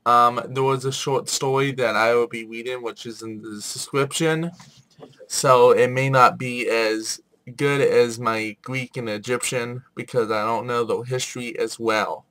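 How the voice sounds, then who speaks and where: A man's voice: medium pitch, steady tone, measured pace, a bit bright, poor audio. A man hosting a casual explainer video while he has a head cold and a sore throat, talking in an informal, apologetic way. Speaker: video host.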